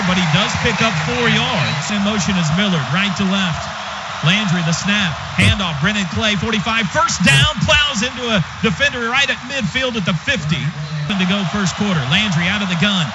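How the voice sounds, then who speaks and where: American male football announcer, high voice, clipped excitable radio calls, lo-fi sound under heavy noise. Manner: clipped, rapid-fire phrases; excitable, voice rising on big plays. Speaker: play-by-play announcer. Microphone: broadcast booth microphone. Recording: dull lo-fi broadcast, loud stadium noise.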